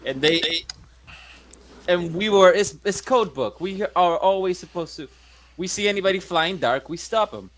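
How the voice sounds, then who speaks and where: Brash, swearing tabletop player. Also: male voice, high-pitched, expressive, normal speed, fairly bright tone, a little hiss or hum. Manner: brash, profane, blunt. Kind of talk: in-character roleplay chat.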